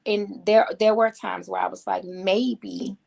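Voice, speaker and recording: A woman's voice: mid-range voice, level tone, a little slow, creaky, a little mellow. A woman from Haiti, guest author on a talk show, speaking candidly and reflectively from personal experience.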